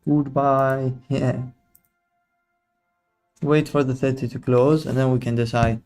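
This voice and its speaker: A man's voice: medium pitch, level tone, slow pace, a little mellow, smooth, faint music behind. A young man hosting a live trading stream, chatting casually in slang and teasing his viewers. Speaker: livestream trading host. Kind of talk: casual live commentary to chat.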